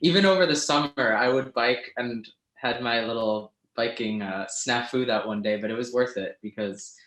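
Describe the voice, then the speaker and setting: A woman co-hosting an online panel jumps in quickly and eagerly. Her voice sits at a middle pitch. The room around her echoes. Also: a bit bright. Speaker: co-host. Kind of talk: casual panel chat. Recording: roomy echo on call audio.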